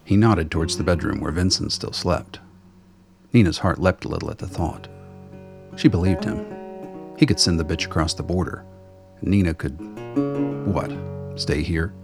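A man's voice: sits low, normal speed, vocal fry, long pauses, music in the background. A man narrating a scripted radio drama as its storyteller.

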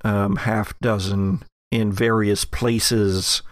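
A man narrating a tabletop horror game as game master, setting scenes theatrically with deliberate pauses. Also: lowish voice, little pitch movement, dry recording, clean studio sound. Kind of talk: narrating an actual-play horror roleplaying game.

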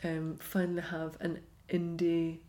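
A woman's voice: lowish voice, completely flat delivery, unhurried, mellow. A woman hosting a podcast, chatting enthusiastically and laughing easily as she interviews a guest. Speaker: podcast host. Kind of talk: casual podcast chat and interview.

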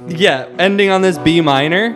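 A man's voice: on the high side, normal speed. A man hosting a podcast, chatting casually about music, emphatic and informal, often circling back as he searches for words. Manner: hesitant, repetitive, searching for words. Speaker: podcast host. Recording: music playing behind the voice. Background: song playback.

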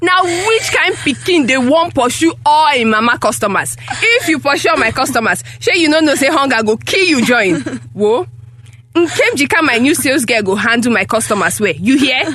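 A woman's voice: sits high, lively intonation, normal speed, fairly bright tone. A woman with a Nigerian accent playing a harsh, accusing mother who runs a small shop, in a Pidgin drama. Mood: threatening, dismissive. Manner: scolding, exclaiming, emphatic rhetorical questions.

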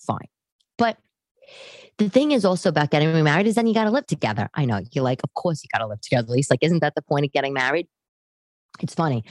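Chatty woman in her late thirties, lowish voice. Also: fast, no room sound. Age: late 30s. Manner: animated, conversational, acts out others' remarks. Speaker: podcast host. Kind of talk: casual, chatty monologue to listeners.

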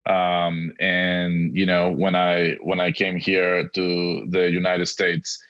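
A middle-aged man from Argentina, a native Spanish speaker, giving earnest, halting interview answers over dull, lo-fi audio. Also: low voice, monotone, a bit bright. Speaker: podcast guest, education technology executive.

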